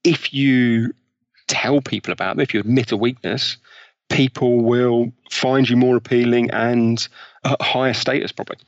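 A man's voice: medium pitch, steady tone, moderate pace, no room sound. Enthusiastic man, dull dry audio. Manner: enthusiastic storyteller, explains studies with examples. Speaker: guest author. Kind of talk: podcast interview.

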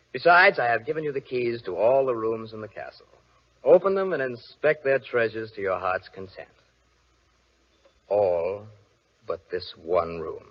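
An actor playing a menacing, courtly nobleman villain with a silky, mock-tender manner in an old-time radio drama. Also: on the low side. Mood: commanding, menacing. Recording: muffled old radio broadcast recording.

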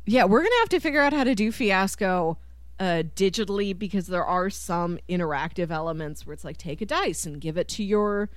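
A chatty woman with a mid-pitched voice, recorded dry and close. She talks casually as a podcast co-host, animated and quick to act out imagined reactions, often with laughter in her words. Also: clean tone, no echo. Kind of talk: casual film discussion.

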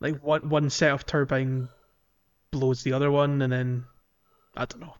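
A man's voice: medium pitch, level tone, unhurried, lo-fi sound. A man hosting a casual podcast chat about a video game, talking in a chatty, informal way. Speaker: podcast host.

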